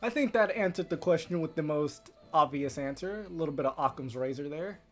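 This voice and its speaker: A man's voice: fairly high pitch, lively intonation, brisk. A man livestreaming a video game, giving casual commentary and thinking aloud as he plays. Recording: loud game music behind the voice. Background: game music.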